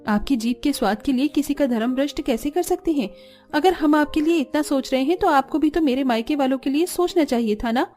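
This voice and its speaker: A woman's voice: high voice, fairly quick, a little mellow, soft background music, lightly acted. A woman voicing a long-suffering young daughter-in-law who stands up for herself in a cartoon drama. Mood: hurt, defiant.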